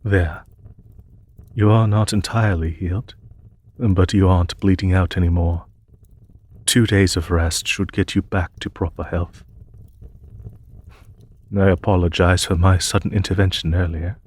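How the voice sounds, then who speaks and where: A man playing a courteous, solemn demon emperor in a fantasy audio roleplay, speaking gently and formally with a husky voice to reassure the listener. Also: low-pitched, fairly even intonation. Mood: steady reassurance. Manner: formal, measured, reassuring, polite phrasing.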